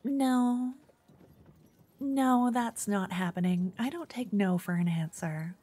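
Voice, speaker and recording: Woman acting a soft-voiced, pushy, obsessive fangirl. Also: medium pitch, takes it slowly, breathy edge. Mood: insistent, teasing. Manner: chatty, coy, insistent, slipping into whispers. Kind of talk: ASMR roleplay.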